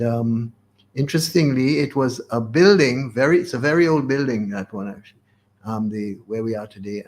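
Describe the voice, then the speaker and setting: A man, reminiscing as an interview guest in a hesitant, rambling way that circles back on itself. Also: mid-range voice. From Caribbean. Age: 80s. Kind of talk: unscripted reminiscing in a radio interview.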